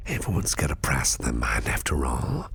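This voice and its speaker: A man playing a shady, intimidating and flirtatious company boss in an ASMR roleplay, teasing and insinuating, in a deep gravelly voice that often sinks to a breathy near-whisper. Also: very animated, fairly bright tone, faint music behind, exaggerated voice. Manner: slow, insinuating, smooth-talking, teasing. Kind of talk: ASMR roleplay, close personal address.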